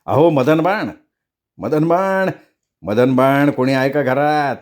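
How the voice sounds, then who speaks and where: A man's voice: mid-range voice, slow, natural voice. A man voicing a greedy, scheming, freeloading neighbour who wheedles and mutters asides in a comic story. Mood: eager.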